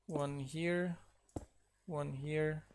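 Slow, easygoing male game streamer. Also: a little high, slight background noise. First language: not English. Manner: slow, relaxed, counts blocks aloud, laughs at himself. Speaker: mod developer streaming gameplay. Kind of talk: casual live-stream commentary while building.

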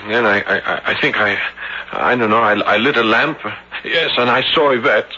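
An actor playing an anxious, excitable young Frenchman, protective and halting in his delivery, in an old-time radio mystery. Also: mid-range voice, husky. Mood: distressed. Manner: agitated, halting, stammering delivery. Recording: dull vintage radio recording.

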